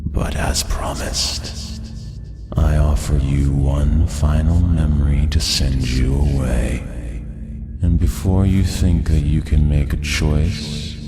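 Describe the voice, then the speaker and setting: A man playing an ancient, menacing entity that possesses its victim, gloating in an ominous horror audio drama monologue with whispery drawn-out words. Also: a bass voice, exaggerated voice.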